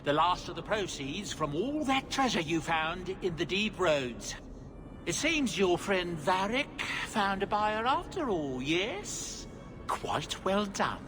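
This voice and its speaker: An actor playing a fussy, deferential dwarf merchant in a video game, courteous, formal and eager to please. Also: male voice, sits high, big pitch swings, slow pace, over music, full character voice. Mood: jovial, chatty. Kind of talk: video game cutscene acting.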